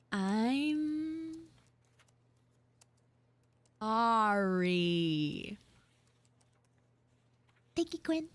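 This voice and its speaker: High-pitched, slow, flustered tsundere girl played by a woman. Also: lively intonation, fairly bright tone, full character voice. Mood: awkwardly apologetic. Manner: halting, stammering, drawn-out delivery. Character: flustered tsundere frog girl, bashful, grudging classmate. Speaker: live roleplay streamer. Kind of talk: live improvised audio roleplay, casual chat.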